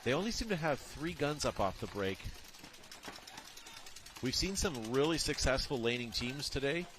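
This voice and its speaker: A man's voice: mid-range voice, lively intonation, normal speed, fairly bright tone, a little hiss or hum. A man commenting live on a paintball match from a booth, chatty and joking with his co-host.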